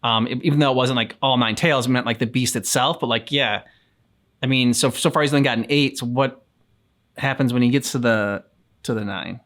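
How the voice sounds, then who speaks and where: A man's voice: mid-range voice, brisk, a bit bright. Hesitant man chatting as reaction co-host. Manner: hesitant, rambling, searching for words. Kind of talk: casual chat.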